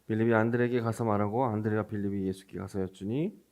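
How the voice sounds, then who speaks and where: A man's voice: lowish voice, steady tone, fast talker, a little mellow. A man preaching as a pastor, explaining scripture in a conversational way and putting questions to his listeners. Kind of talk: Sunday sermon with scripture reading.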